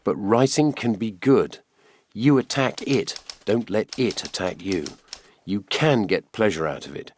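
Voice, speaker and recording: Deep-voiced older British documentary presenter. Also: male voice, expressive, a little slow, slight background noise. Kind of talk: scripted narration.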